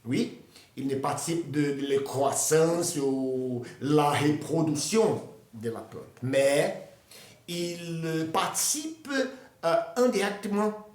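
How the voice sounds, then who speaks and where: A man from Brazil with a Brazilian Portuguese accent, explaining plants in a learned language, halting with many hesitations and restarts. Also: on the high side, darkish tone, echoey room. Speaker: botany presenter. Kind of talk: educational talk in a learned language.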